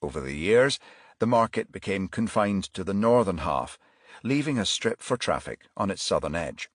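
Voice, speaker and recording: A man's voice: deep voice, big pitch swings, moderate pace, dry recording, studio quality. A man reading a crime thriller audiobook as scripted narration.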